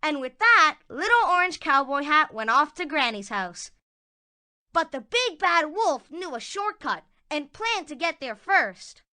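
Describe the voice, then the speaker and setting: Lady reading a kids story, high bright voice, dramatic storyteller style. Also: big pitch swings, a little slow. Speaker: narrator. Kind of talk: scripted children's story narration.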